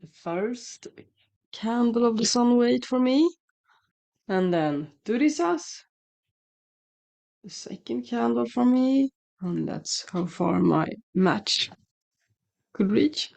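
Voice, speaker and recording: A woman's voice: a little high, lively intonation, slow pace, vocal fry, long pauses, a little mellow. A woman with a Swedish accent, native Swedish speaker, chatting casually at home in a morning vlog, rambling and correcting herself, slightly sniffly as if coming down with a cold. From Sweden. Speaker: shamanism vlogger. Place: home indoors, dry room. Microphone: headphones with mic.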